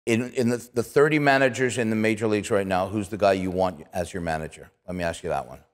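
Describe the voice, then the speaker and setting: Low male voice, talk show host, casual sports chat, echoing room. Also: fast. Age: middle-aged. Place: small indoor studio set with couches.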